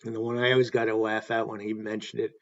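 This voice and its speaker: A man hosting a tribute talk, reading anecdotes in a halting way and correcting himself as he goes. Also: on the low side, completely flat delivery, lo-fi sound. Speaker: host paying tribute to a stadium announcer.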